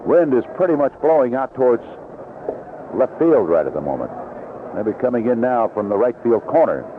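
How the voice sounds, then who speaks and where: A man's voice: medium pitch, slight background noise. Man calling baseball on tinny vintage radio. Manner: unhurried description between plays, rising excitedly on action. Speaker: baseball play-by-play announcer. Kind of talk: live 1970s radio sports commentary. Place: outdoor ballpark broadcast booth.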